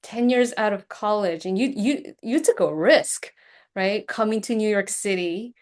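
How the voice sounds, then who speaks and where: A woman hosting an interview, born in Korea with Korean as her first language, talking in a lively way and often laughing. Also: medium pitch, unhurried. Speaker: podcast host, executive coach. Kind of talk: conversational interview.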